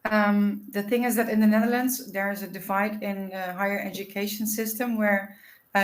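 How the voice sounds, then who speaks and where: A woman's voice: mid-range voice, roomy sound. A woman hosting an informational webinar, explaining things in a friendly way with frequent "uh" and "um". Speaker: webinar host.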